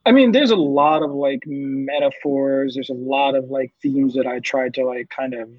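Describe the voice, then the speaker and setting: A man's voice: mid-range voice, moderate pace, dry recording, muffled recording. A man who writes comics, talking in a loose, casual way with lots of fillers. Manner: loose, filler-heavy, acts out voices with bursts of laughter. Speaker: comic book writer, guest. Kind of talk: casual conversational interview.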